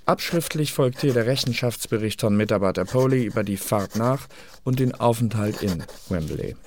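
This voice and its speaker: An actor playing a secret service archivist, narrating a dossier formally and matter-of-factly in a radio drama. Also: male voice, on the low side, animated, moderate pace, slight background noise, lightly acted.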